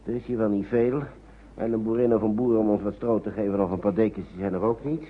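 A man's voice: on the low side, fairly even intonation, fast talker, slightly rough. An actor playing a patient, fatherly man in a radio play, coaxing children hesitantly and repeating his phrases. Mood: reassuring. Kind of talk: naturalistic Dutch radio play acting. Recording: noisy, phone-like old radio recording.

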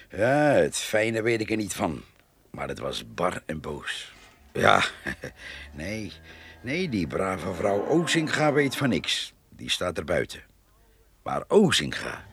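Deep creaky old villager. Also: male voice, fairly bright tone, heavily acted. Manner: rambling, confiding, disapproving. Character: slow, gossipy older villager, scornful and confiding. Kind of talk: radio drama acting.

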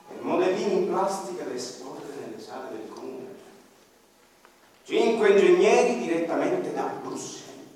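A man giving slow, dramatic narration in a radio play, sinking into hushed low passages. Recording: echoey hall, from far off, faint ambience.

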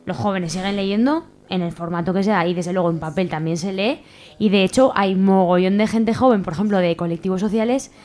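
A woman's voice: medium pitch, brisk, darkish tone. Spanish woman, radio guest, mid-range voice, colloquial and emphatic delivery. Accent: Spanish from Spain. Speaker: radio guest from a community library collective. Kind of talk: casual spoken radio interview.

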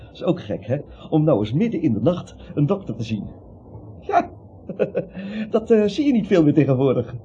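A timid, frightened little man in a radio play, stammering and rambling to keep his nerve. His mellow voice swings widely up and down, on a dull recording with faint hiss. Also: a little high, big pitch swings, unhurried, gravelly, exaggerated voice. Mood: nervous chatter. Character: anxious, timid little man, a cowardly reluctant vigilante.

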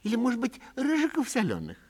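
An actor voicing a caring, homely elderly landowner's wife in a literary audiobook, solicitous and coaxing. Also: male voice, very high-pitched, huge pitch swings, fast, pitched above the performer's own voice, full character voice.

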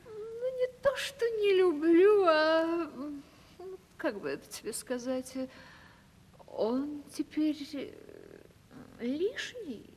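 A high, languid, coquettish aging lady. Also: lively intonation, a little mellow, heavily acted. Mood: fretful, confiding. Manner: languid, drawn-out, affected coy delivery. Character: coquettish, capricious aging landowner lady, sighing and imperious. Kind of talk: theatrical 1970s Russian radio play acting. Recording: stage-like reverberant archival radio drama recording.

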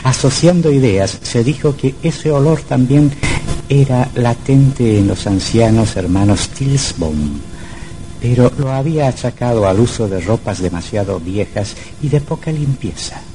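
An actor playing a sinister storyteller, narrating an old radio horror drama in a theatrical, literary style. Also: male voice, medium pitch, lively intonation, measured pace, noisy background. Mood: ominous.

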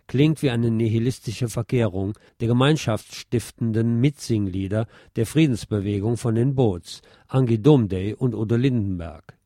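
A man reading a scripted nonfiction essay aloud in a measured, articulate manner. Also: medium pitch, warm tone, no room sound, clean studio sound. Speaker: narrator reading a nonfiction text. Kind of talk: scripted narration, literary essay read aloud.

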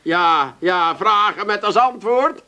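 An obsessive, self-righteous handyman in a comic radio play. His voice is high and piercingly bright, and he is brisk, unbothered and stubbornly contrary. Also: expressive, muffled recording, heavily acted.

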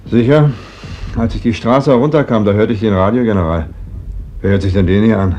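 A plain-spoken worker played by a man in an old German radio drama, with a lowish voice. He probes in short questions. The sound is dull and clearly noisy. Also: brisk, mellow, lightly acted. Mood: suspicious. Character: probing, plain-spoken visiting worker, the uncle. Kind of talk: German radio play acting.

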